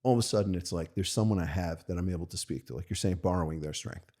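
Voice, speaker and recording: A low-voiced American man with a mellow, husky tone. He tells personal stories in an open, conversational way. He restarts often and tags his sentences with "right?". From United States. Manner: thoughtful and halting, many restarts and "right?" tags. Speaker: podcast guest, rabbi. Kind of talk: candid conversational storytelling.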